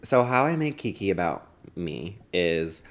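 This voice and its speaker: A man's voice: deep voice, big pitch swings, measured pace. A man co-hosting a podcast in casual comedic chat, dramatizing his own anecdotes as he goes. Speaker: podcast co-host.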